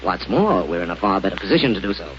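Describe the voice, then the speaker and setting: Brief: a cold, scheming space villain in a vintage radio serial, mid-pitched, faint hiss on a dull recording. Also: male voice, sing-song, fast talker. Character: cunning ruthless male space villain. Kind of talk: 1930s radio acting.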